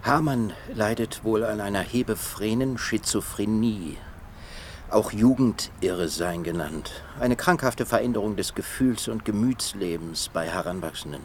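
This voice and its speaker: An actor playing an earnest, articulate professor with a measured, ironic manner in a German radio drama. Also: male voice, fairly low pitch, slight background noise. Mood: earnest, insistent. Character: earnest male professor, narrating and pleading for mercy.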